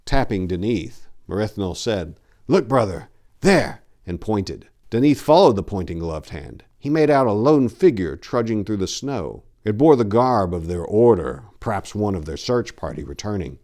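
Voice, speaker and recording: Low-voiced man reading dark tales dramatically. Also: very animated. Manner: theatrical, brooding, deliberate delivery. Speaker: narrator and channel host.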